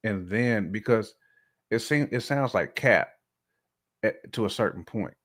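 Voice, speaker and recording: Casual podcast voice: American man, lower register, colloquial and slangy, leaning on repetition for emphasis. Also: expressive, measured pace, a bit bright.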